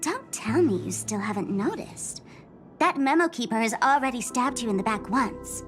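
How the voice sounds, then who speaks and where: Playful, smug young trickster, very lively and high. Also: female voice, normal speed. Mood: teasing, indignant. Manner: teasing, dramatic, sing-song delivery. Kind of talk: anime-style game voice acting. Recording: music behind the voice. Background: game soundtrack music.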